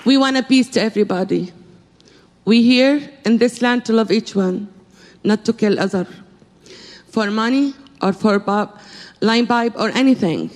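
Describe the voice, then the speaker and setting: A woman's voice: fairly high pitch, unhurried. A middle-aged woman from Syria, making an earnest, pleading appeal from the floor of a conference in broken English. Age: middle-aged or older. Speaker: audience speaker. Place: conference hall. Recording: reverberant hall.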